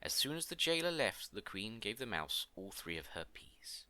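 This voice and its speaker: A male storyteller reads a fairy tale in a theatrical storybook style. His pitch swoops widely, and his bright tone has some creak, recorded close and dry. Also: big pitch swings, moderate pace, no echo. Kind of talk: scripted fairy tale narration.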